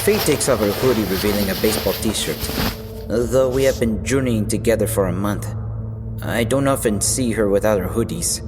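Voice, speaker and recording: A man's voice: on the low side, normal speed, close to an everyday voice. Cocky young fighter guy, played by a male actor, narrating his own audio drama, casual and irreverent, music behind him. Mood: focused. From Philippines. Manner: casual, wry. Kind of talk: audio drama dialogue and first-person narration. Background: background music.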